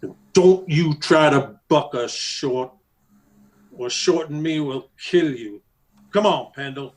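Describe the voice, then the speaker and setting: An American man playing a menacing, mocking cowboy bully in an old-time radio Western. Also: a little high. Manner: mocking, slow-drawling taunts. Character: menacing cowboy bully, sneering ex-Confederate guerrilla.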